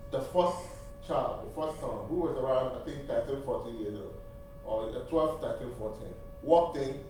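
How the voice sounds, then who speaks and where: A man's voice: medium pitch, warm tone, slight background noise. A man from Nigeria with a Nigerian accent whose first language is Igbo, giving an earnest church testimony and appeal that repeats key phrases for emphasis. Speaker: seminary graduate speaking at church. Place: church sanctuary. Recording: distant, roomy church recording.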